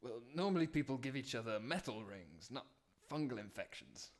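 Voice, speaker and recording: A man's voice: medium pitch, animated, normal speed, close to an everyday voice. A man playing an awkward, apologetic partner at a wedding in a comic puppet musical, hesitant and sheepish. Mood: disappointed, polite.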